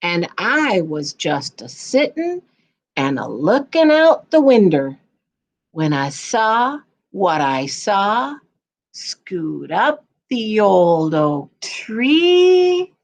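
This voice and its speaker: A woman tells a story to young children with a strongly animated, swinging pitch. She takes her time, playful and expressive, and slips easily between reading and casual chat. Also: very slow. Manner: playful, expressive, sing-song when reading. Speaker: guest storyteller.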